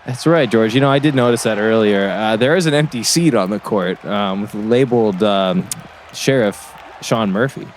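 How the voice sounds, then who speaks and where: A man's voice: fairly low pitch, moderate pace, natural voice. A man playing an earnest, emotionally invested basketball color commentator in an audio drama, hesitant and easily wowed. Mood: concerned. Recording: basketball game noise behind.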